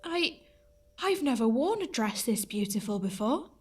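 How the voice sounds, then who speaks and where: A woman's voice: on the high side, very animated, measured pace, a little mellow, soft background music. A woman playing a sweet, earnest young pantomime heroine, wide-eyed in an audio play. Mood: delighted.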